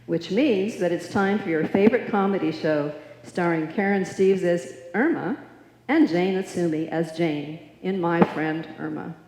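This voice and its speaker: Low-voiced woman radio announcer, echoey. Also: measured pace, darkish tone, a little hiss or hum. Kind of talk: scripted old-time radio show introduction. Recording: echoey hall, live stage.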